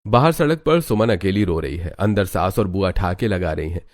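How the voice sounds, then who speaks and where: A man's voice: sits low, expressive, moderate pace, a little mellow. A man narrating a scripted family drama story in a dramatic storytelling style.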